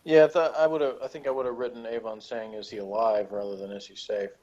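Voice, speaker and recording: A man's voice: lowish voice, fairly even intonation, brisk, a little mellow, highs cut off. A man chatting casually and offhandedly as a co-host of a review show, in a dry manner. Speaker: podcast co-host reviewing a TV episode. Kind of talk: casual chat.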